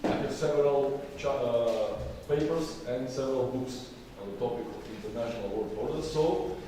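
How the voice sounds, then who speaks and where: A man's voice: medium pitch, moderate pace, dark tone. A man, the dean of a law faculty, giving a formal welcome and introducing a guest speaker in English. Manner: formal, reads greetings and introductions. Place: university lecture hall. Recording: echoey hall from far off, noisy.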